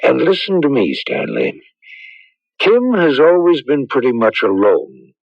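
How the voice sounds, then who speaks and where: A man playing a grave, thoughtful priest in a radio drama, speaking in a measured, probing way. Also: medium pitch, huge pitch swings, creaky, no room sound, full character voice. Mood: solemn, intent. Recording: muffled old radio broadcast.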